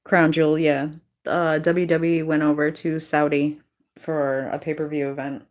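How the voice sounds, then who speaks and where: A woman's voice: low-pitched, level tone, normal speed. A woman from Massachusetts with a Worcester accent, chatting casually and bluntly on a live stream, swearing freely. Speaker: YouTuber hosting a live stream.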